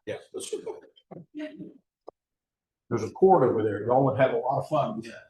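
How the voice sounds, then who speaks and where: A man's voice: mid-range voice, animated, normal speed, warm tone. A man leading a club meeting, easygoing and joking. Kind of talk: casual meeting emcee. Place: meeting room. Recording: echoey meeting room.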